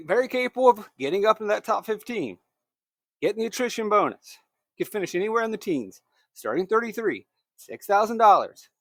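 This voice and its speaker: A man's voice: high-pitched, very animated, a little fast, a bit bright, dry recording, clean studio sound. A man hosting a solo fantasy racing picks show, talking in a conversational, emphatic way that corrects itself, his throat a little scratchy.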